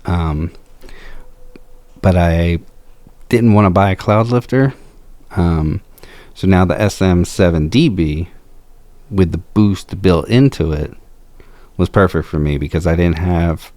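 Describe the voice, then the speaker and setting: A man with a low voice talking through a microphone demo in a home studio, slow and measured with frequent fillers. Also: a little mellow, no room sound. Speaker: video host. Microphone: close to a studio microphone.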